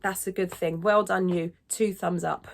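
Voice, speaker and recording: A woman's voice: on the low side, steady tone, measured pace. Upbeat woman teaching singing. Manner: upbeat and encouraging; dips into vocal fry when speaking. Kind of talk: instructional talk to camera.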